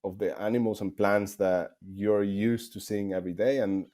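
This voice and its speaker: A man with a Spanish accent and a deep, relaxed, dark voice. He speaks as a game developer giving conversational interview answers, working out his phrasing as he goes and often repeating words. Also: moderate pace. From Spain.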